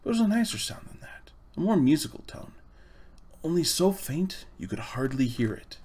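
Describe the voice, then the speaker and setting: A man reading a children's storybook aloud in expressive, measured phrases. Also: fairly high pitch, breathy edge. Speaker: audiobook reader. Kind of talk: storybook narration.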